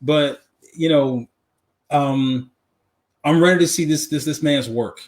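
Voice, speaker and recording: A man's voice: fairly high pitch, slow pace. A man chatting casually and informally as a podcast co-host, giving his opinions and repeating phrases for emphasis. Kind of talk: casual live podcast chat. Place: live podcast.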